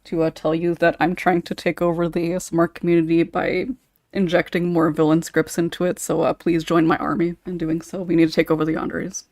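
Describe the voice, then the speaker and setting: A woman's voice: fairly low pitch, flat delivery, brisk, darkish tone, no room sound, studio quality. A woman hosting a casual group podcast, speaking informally with a tongue-in-cheek streak.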